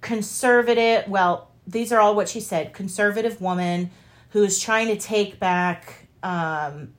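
A woman co-hosting a casual political commentary show. She talks conversationally at a middling pitch and leans on key words for emphasis. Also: a little slow. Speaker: podcast co-host.

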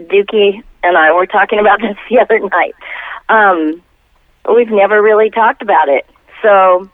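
A woman's voice: mid-range voice, moderate pace, no room sound. A middle-aged woman chatting casually and candidly as an interview guest over the telephone, with good humour. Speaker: interview guest, band singer. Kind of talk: casual chat. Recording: tinny, dry phone line.

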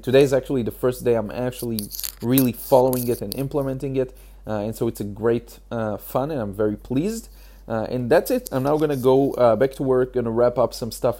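A man with a hoarse, achy throat, hosting a casual solo podcast, talking haltingly with many fillers. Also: mid-range voice, warm tone. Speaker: podcast host.

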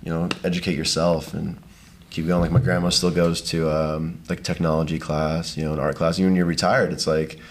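A man's voice: a bass voice, level tone, a little fast, slight background noise. A man chatting casually and agreeably, with an encouraging way of talking, as a podcast guest. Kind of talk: casual chat.

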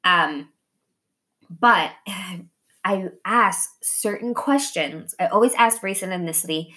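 A woman's voice: fairly low pitch, slow pace, pushed and bright. A woman hosting a podcast in casual, candid conversation, blunt and swearing freely, acting out imagined dialogue as she talks. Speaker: podcast host.

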